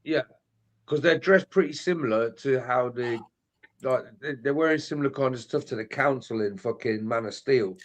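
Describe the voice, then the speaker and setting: A man's voice: mid-range voice, normal speed. A man commenting casually on a livestream, sweary and eagerly repeating himself. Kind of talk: casual chat.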